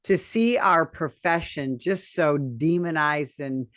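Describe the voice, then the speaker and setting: A woman hosting a podcast, asking measured, deliberate interview questions. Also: low-pitched, fairly bright tone, dry recording, clean studio sound. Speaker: podcast host.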